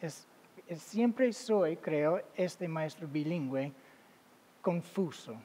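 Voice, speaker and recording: A man with as his giving an academic talk as a guest lecturer, halting, with many fillers and self-corrections. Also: on the high side, animated, warm tone, slight background noise. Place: lecture hall. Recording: long reverb of a large hall.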